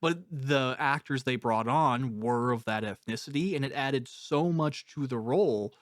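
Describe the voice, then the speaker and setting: A man's voice: mid-range voice, a little slow, no room sound, clean studio sound. A man hosting a casual interview, talking in an informal, rambling way as he thinks aloud. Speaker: interviewer, podcast host.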